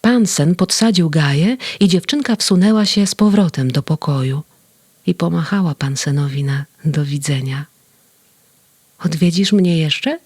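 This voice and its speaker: A woman narrating a children's bedtime story slowly and tenderly. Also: sits low, husky, no room sound, clean studio sound. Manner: slow and tender, with lively voice play. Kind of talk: storybook reading.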